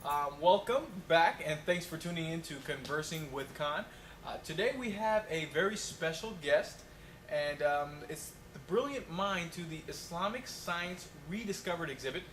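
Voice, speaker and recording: A man's voice: a little high, moderate pace, a bit bright, natural voice. A man playing a friendly, polite talk show host in a homemade mock interview, chatty and hesitant, on echoey, noisy audio. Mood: polite, welcoming.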